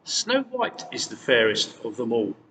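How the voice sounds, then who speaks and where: Man as a calm magic mirror in a cavernous hall. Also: mid-range voice, a little slow, distant mic, a little hiss or hum, exaggerated voice. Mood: calm, matter-of-fact. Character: magic mirror, calm truth-telling enchanted object.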